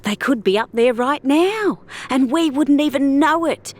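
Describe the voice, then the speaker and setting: Woman acting out a giddy older woman, high-pitched gushing. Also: a little slow. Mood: awed, excited.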